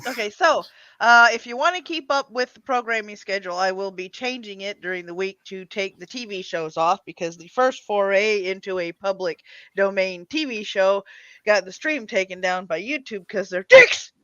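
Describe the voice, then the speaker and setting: Stream host doing casual announcements, bright voice, jokey, bit muffled audio, no room sound. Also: medium pitch, normal speed, dry recording. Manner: informal, good-humored, joking with panelists. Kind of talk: casual livestream chat and announcements.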